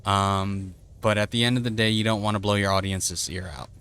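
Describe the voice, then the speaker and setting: Chatty man with a bright, clear voice. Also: fairly low pitch, steady tone, fairly quick. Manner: loose, chatty explaining with frequent fillers. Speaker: streamer. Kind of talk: casual tutorial explaining audio settings. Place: home streaming setup. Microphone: desktop streaming microphone with noise gate.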